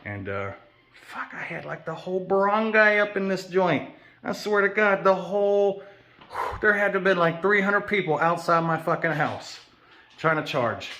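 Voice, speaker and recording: A man's voice: high voice, expressive, normal speed, bright, forceful tone. An American man talking in a rambling, casual walk-and-talk vlog, swearing often in slangy, easygoing speech. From United States. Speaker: vlogger.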